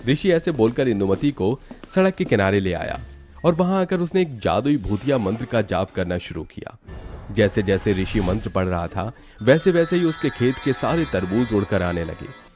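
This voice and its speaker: A man narrates a scripted horror cartoon story. His voice is fairly low with lively, swinging intonation and a dramatic storyteller's delivery, with faint music behind it. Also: normal speed.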